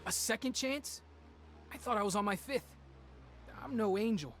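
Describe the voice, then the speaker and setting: An actor playing a cocky, angry teenage street inventor who controls time, with brash, taunting game voice lines. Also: male voice, high voice, normal speed, fairly bright tone, slight background noise. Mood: wry confident. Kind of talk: video game character voice lines.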